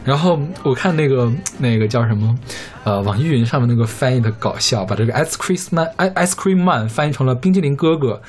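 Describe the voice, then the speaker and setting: A man's voice: mid-range voice, lively intonation, a little fast, few pauses. A man chatting casually about songs as co-host of a music podcast. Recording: music playing behind the talk. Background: music with guitar.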